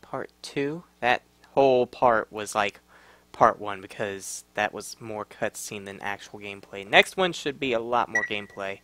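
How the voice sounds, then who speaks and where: A man giving casual, offhand gameplay commentary in a halting way. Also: on the low side, sharp tone, slight background noise. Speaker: gamer. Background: video game audio.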